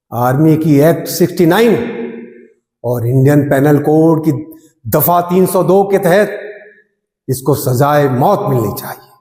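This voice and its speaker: In a reverberant room, a male actor plays an impassioned army defence lawyer with a high, mellow voice. He delivers a theatrical courtroom monologue with bitter irony, repeating phrases for emphasis and pausing dramatically. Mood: bitterly ironic. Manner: oratorical, emphatic repetition, dramatic pauses. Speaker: actor performing an audition monologue.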